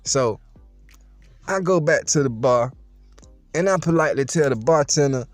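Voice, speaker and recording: Animated storytelling man with street slang. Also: a little high, moderate pace, no room sound, faint music behind. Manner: streetwise, playful, boastful slang, addresses listeners directly. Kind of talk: casual storytelling to viewers.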